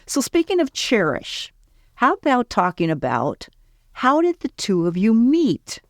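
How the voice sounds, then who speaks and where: A woman's voice: a little high, wildly swooping pitch, a little slow, dry recording, studio quality. A woman hosting a community radio interview, encouraging her guests as she asks questions. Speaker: radio host.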